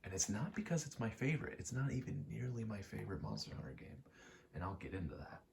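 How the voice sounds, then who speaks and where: A man giving a rambling ASMR gaming commentary, halting and meandering as he thinks aloud while playing. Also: on the low side, very animated, creaky, a little hiss or hum. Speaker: gaming video creator.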